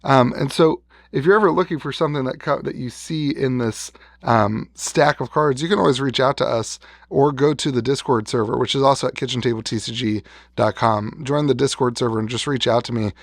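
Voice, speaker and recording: A man's voice: medium pitch, brisk, no echo. A man who is losing his voice after being sick, chatting casually and rambling a little as he shows off a collection of cards in a vlog. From West Virginia. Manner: rambling, restarts, enthusing over old cards. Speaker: card shop owner showing a collection. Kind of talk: casual show-and-tell vlog.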